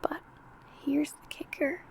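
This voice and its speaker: A woman playing a secretive, sly vampire coworker in an ASMR roleplay, chatting coyly to the listener in a hushed voice that drifts toward whispering. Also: takes it slowly, natural voice. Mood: hushed, conspiratorial. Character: secretive female vampire coworker, older senior, sly and possessive.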